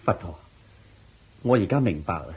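An anxious, humble petitioner in a radio drama, speaking Hong Kong Cantonese. The voice is lowish, dark and very raspy, with a deferential, plaintive manner. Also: male voice, animated, normal speed, full character voice. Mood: grateful. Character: humble, anxious male petitioner seeking Buddha's counsel.

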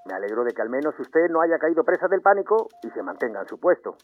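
An actor playing a courteous, grave navy minister in a historical audio drama, formal and polite over the telephone. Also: male voice, a little high, very animated, husky. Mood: courteous, relieved. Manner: formal, measured wording, polite. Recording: muffled telephone line.

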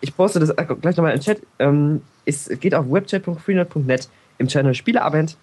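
A man's voice: a little high, moderate pace, a little mellow, breathy edge. A man co-hosting a game podcast, talking casually in a hesitant way with many restarts. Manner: hesitant, full of fillers and restarts. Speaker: podcast co-host.

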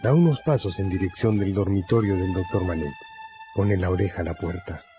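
A male narrator with a low voice tells a radio drama in scripted, dramatic style. Music runs behind him and the audio is slightly dull. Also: a little fast.

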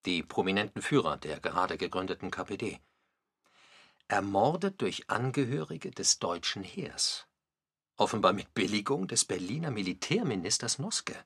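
A man reading scripted narration for a radio feature, with a slightly husky voice. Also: lowish voice, big pitch swings, moderate pace, a bit bright, exaggerated voice.

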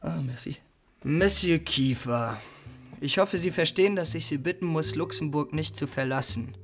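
An actor playing a suave, probing police commissioner in a radio drama, formal and sprinkling in French and Latin phrases. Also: male voice, on the high side, normal speed, faint music behind, lightly acted. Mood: formal suspicion.

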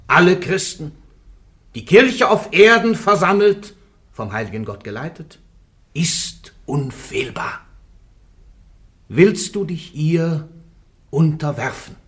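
A stern male church interrogator in a radio drama. He is learned and insistent, phrasing his words with didactic emphasis in a medium-pitched voice. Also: fairly bright tone. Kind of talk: German radio play acting.

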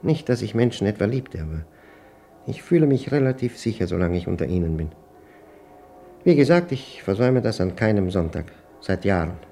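An actor playing a brooding, suspicious old widower who speaks a halting, introspective diary monologue in a German radio play. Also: male voice, fairly low pitch, expressive, dark tone, faint music behind. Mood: uneasy, confiding. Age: older. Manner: halting, deliberate, broken phrases.

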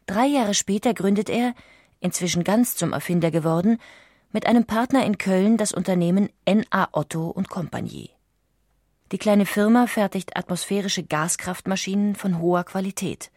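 A woman's voice: mid-range voice, moderate pace, darkish tone, no room sound, clean studio sound. A woman reading a scripted documentary narration as an audiobook narrator.

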